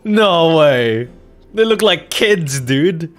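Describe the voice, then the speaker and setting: Man with lively, swinging pitch, casually commentating. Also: extremely slow, faint music behind. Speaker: streamer playing and reading out a game.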